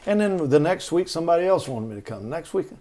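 A middle-aged man tells stories in a folksy, rambling way. He restarts his sentences often as he goes. His voice sits a little on the high side. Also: animated, quick pace, warm tone. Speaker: guest. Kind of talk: storytelling conversation.

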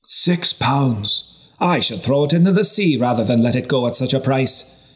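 A man's voice: medium pitch, lively intonation, normal speed, voice pushed up, natural voice. A wily travelling trader played by a male narrator peddles exotic rarities. He speaks in grandiose salesman's patter, as in a historical audiobook. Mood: indignant haggling. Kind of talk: audiobook character reading.

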